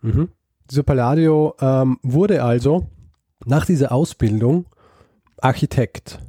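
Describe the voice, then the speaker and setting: Man, casual storyteller, very dark mellow voice, halting delivery, dry close sound. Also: medium pitch, no room sound. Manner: hesitant, many ums and restarts. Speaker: podcast host telling a story.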